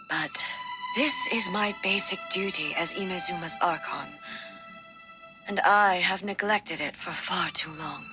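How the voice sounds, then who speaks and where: An actress voicing a solemn, dignified warrior deity in a video game, with a formal, measured and resolute delivery. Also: female voice, mid-range voice, natural voice. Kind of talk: scripted video game voice acting.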